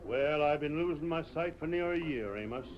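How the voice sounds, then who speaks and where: An actor playing a humble, blind old stagecoach driver with a hesitant, folksy American Western drawl in a radio western. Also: male voice, a little high, animated, normal speed, lightly acted. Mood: resigned, fond. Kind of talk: 1940s radio western acting. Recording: muffled vintage radio recording with crowd.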